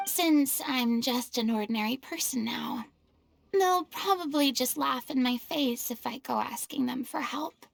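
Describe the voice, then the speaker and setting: Proud, high-voiced young former diva. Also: female voice, vocal fry, full character voice. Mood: embarrassed, dramatic. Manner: haughty, dramatic, self-pitying. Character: proud, theatrical young female former archon and retired star. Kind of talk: video game character voice acting.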